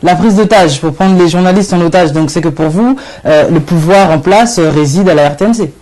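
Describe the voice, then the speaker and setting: A woman interviewer asking pressing, somewhat halting questions. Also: lowish voice, mellow.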